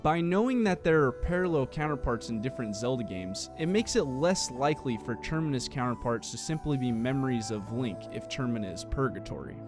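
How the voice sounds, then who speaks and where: Male video essay narrator, scripted and explanatory, animated pitch, faint music underneath. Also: brisk, few pauses.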